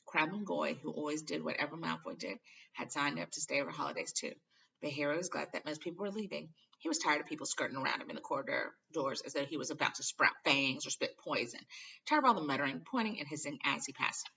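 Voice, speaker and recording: Low, creaky woman reading a story. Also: lively intonation, fast, pushed and bright. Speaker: storybook reader. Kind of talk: audiobook-style narration of a children's novel. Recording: dull, distant home microphone.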